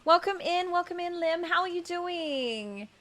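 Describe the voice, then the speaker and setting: A woman's voice: extremely high pitch, expressive, unhurried, a bit bright. A woman chatting casually as she hosts a game stream, greeting viewers affectionately.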